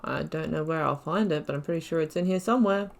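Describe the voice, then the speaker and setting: A woman's voice: sits low, quick pace, vocal fry. A woman doing casual playthrough commentary, reading dialogue choices aloud and musing to herself. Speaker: gaming YouTuber.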